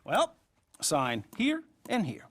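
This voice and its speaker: A man's voice: high-pitched, wildly swooping pitch, slow, fairly bright tone, slight background noise, full character voice. A man playing a jovial, theatrical frontier banker in a video game, chatty and teasing like a salesman. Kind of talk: video game cinematic acting.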